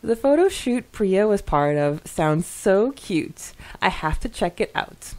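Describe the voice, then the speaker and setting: A woman co-hosting a podcast in casual, chatty style, reading comments with hesitant pauses and giggles. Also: medium pitch, big pitch swings, a little mellow. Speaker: podcast co-host.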